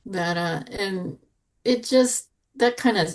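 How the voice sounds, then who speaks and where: A woman of medium pitch takes part in a podcast panel. She gives her opinions in an informal, conversational way. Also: takes it slowly. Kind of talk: casual review chat.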